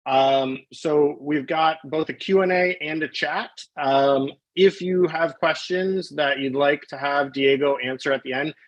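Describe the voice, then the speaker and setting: A man's voice: fairly high pitch, fairly even intonation, measured pace, hardly any pauses, lo-fi sound. A man hosting a live online interview on a video call, friendly and informal as he introduces his guest. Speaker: host, webinar moderator.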